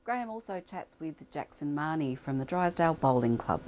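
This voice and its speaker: A woman's voice: low voice, big pitch swings, brisk, a little mellow. A woman reading scripted links as a local news presenter.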